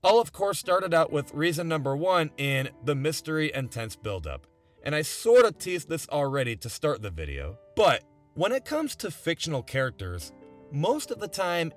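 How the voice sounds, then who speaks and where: Animated, higher-pitched young man narrating. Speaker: video essayist. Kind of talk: scripted video essay narration. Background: background music.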